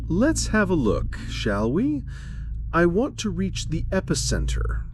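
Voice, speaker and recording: Horror audiobook con-man priest, male reader: young, smug, courtly, showy, with very lively intonation. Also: high voice, slow, over music, voice pushed up, exaggerated voice. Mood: smooth, assured. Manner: theatrical, over-polite. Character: smug young fake priest, theatrical and smooth-talking.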